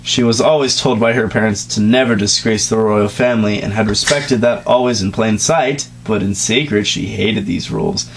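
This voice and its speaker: A man's voice: lowish voice, normal speed, hardly any pauses, a little hiss or hum, poor audio. A man reading a story aloud, breaking off for mocking, sarcastic asides. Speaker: reader in a comedic dramatic reading.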